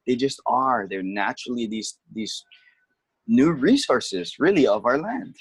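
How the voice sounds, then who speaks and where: A man's voice: medium pitch, very animated, a little slow, slightly rough, no echo, low-quality recording. A man from Hawaii, a touring musician, talking casually and patiently explaining words. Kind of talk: casual conversation. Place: home, during lockdown.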